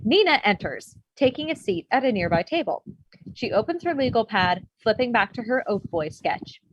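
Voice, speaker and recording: Lively woman reading stage directions, dull audio. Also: fairly high pitch, moderate pace, a little hiss or hum. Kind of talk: scripted narration. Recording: dull, lo-fi video call sound.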